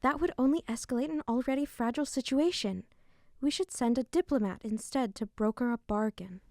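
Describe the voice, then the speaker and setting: A woman's voice: on the high side, fairly quick, a little mellow, lightly acted. A woman playing a firm, diplomatic queen in an audio drama, speaking with composure. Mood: firm, composed. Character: firm, diplomatic fairy queen, the king's wife.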